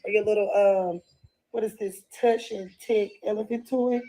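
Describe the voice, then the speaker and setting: A woman's voice: mid-range voice, fairly even intonation, normal speed, mellow, rough recording. A woman with a stuffy nose talking casually in a home vlog clip, partly addressing a small child.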